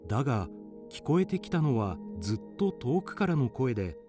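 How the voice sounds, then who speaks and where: A man's voice: medium pitch, sing-song, normal speed, over music. A man narrating a children's radio drama in scripted storybook style.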